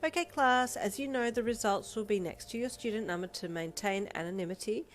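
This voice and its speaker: A woman voicing a brisk female teacher announcing exam results to a class, in a bright, projecting tone. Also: mid-range voice, faint music behind, voice pushed up, lightly acted. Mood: businesslike.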